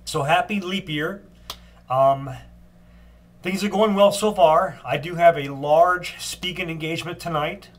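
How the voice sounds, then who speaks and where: An older man with a stuffed-up cold voice giving a casual daily video update, unhurried, with frequent ums and restarts. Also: on the high side. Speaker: long-distance walker giving a daily update.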